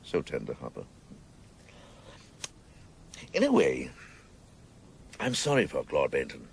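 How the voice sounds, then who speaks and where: An actor playing an obsessive, theatrical senior policeman in a radio drama, gravelly and sardonic, savouring his grandiose words. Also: a bass voice, wildly swooping pitch, moderate pace, exaggerated voice. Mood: sardonic, brooding.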